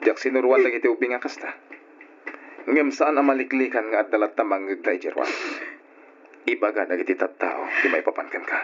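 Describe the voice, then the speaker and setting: A man's voice: on the low side, steady tone, fairly quick, a bit bright. An actor with an Ilocano accent playing an anguished, bitter husband and father in an old radio drama. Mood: cold, reproachful. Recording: dull lo-fi old radio recording.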